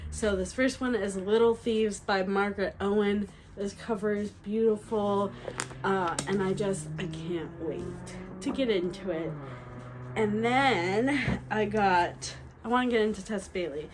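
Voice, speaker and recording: A young woman with a slightly nasal voice from a cold, chatting excitedly through a book haul with fillers and restarts. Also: medium pitch, measured pace, faint music behind, poor audio. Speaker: book vlogger. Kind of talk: casual book haul chat.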